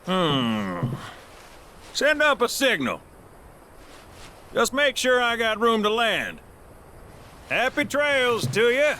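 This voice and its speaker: A man's voice: very high voice, huge pitch swings, takes it slowly, pauses often, pushed and bright, a little hiss or hum, exaggerated voice. A man playing a folksy, breezy pilot in a video game, drawling. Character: folksy, breezy adult male pilot dropping off passengers.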